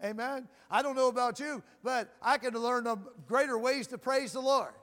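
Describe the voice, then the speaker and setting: A man preaching in a very high, piercing voice, stumbling and repeating himself as he pushes toward shouting. He keeps asking "Amen?" between lines. His voice rings in a long church reverb over faint music. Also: normal speed. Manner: loud, says he shouts and builds to shouting. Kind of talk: sermon.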